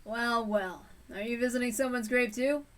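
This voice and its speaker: A woman's voice: fairly high pitch, normal speed, a bit bright, distant mic, natural voice. A woman voicing a folksy, stubborn older male detective in a story read-aloud. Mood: genial, wry.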